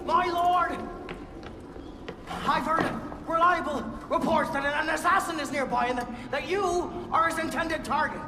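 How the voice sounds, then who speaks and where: A man's voice: unhurried, music in the background. An actor playing a nervous male servant who stammers and cries out in alarm, in a game cutscene. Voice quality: shrill, stammering. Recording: echoey hall with ambient noise.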